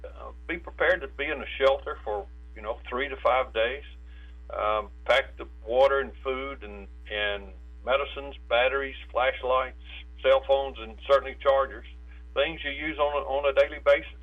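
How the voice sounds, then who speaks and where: A man with an American accent giving preparedness advice in a phone interview, haltingly, repeating words and stumbling mid-phrase. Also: mid-range voice. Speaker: state insurance director, interviewed guest. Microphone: telephone. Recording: tinny narrow phone line with hum.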